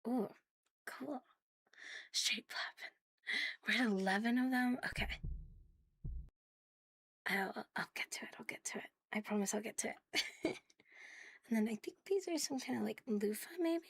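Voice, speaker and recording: A woman chatting casually to viewers on an ASMR livestream, soft and breathy with a playful, cutesy manner, often dropping to a whisper close to the mic. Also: a little high, normal speed, long pauses, no room sound. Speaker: ASMR live streamer hosting in a virtual world. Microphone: close, sensitive streaming microphone.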